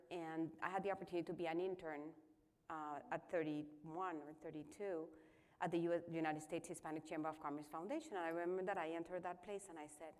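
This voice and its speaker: Woman in her fifties from Colombia, low voice, candid panelist sharing her story. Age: 50s. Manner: candid, self-deprecating, chatty. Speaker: panelist, chamber of commerce president.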